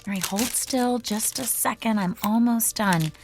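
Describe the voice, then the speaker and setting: A woman playing a caring, gently teasing student in a naturalistic radio drama, casual and sympathetic. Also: on the high side, unhurried, music in the background.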